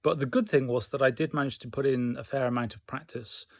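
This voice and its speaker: A man recounting his day in a casual personal vlog, speaking in a reflective, measured and somewhat hesitant way. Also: medium pitch, fairly even intonation, dry recording. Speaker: hobbyist learner keeping a progress diary.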